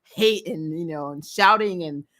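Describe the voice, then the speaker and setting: A woman with a fairly low but sharply bright voice hosts a casual recap stream. She talks with punch and emphasis, giving blunt opinions and swearing freely as she goes. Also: unhurried. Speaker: host of a TV show recap channel.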